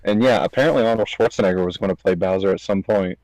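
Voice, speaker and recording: A man's voice: low voice, quick pace, darkish tone, no room sound, poor audio. A man chatting casually as a podcast guest, slangy and full of opinions. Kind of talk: casual chat.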